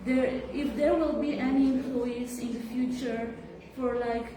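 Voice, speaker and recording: Slow, halting teenage girl student. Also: fairly high pitch, fairly even intonation. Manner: slow, halting, many fillers. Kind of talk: classroom discussion. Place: classroom. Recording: echoey room from far off, clear background noise.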